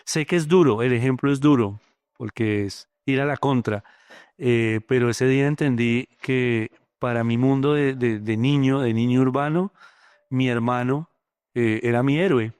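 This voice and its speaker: Reflective Bogotá-accented man, smooth voice. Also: mid-range voice, moderate pace, darkish tone, no room sound. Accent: Bogotá Colombian Spanish. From Colombia. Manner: thoughtful, many hesitant fillers. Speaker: poet. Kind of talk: reflective interview talk.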